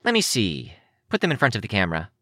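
A cheery girl prodigy from a story, acted out by a man, bouncy and morbidly curious. The voice is forward and bright, swinging wildly up and down, in very dry sound. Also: lowish voice, wildly swooping pitch, fast, dry recording, pitched above the performer's own voice, full character voice.

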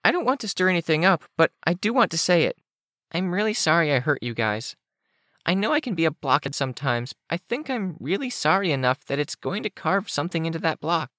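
An eager, apologetic young girl from an audiobook story, acted out by a man. The voice is pitched well up and moves in lively swings. She gushes and rambles in a dry, close recording. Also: fairly quick, dry recording. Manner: gushing, rambling.

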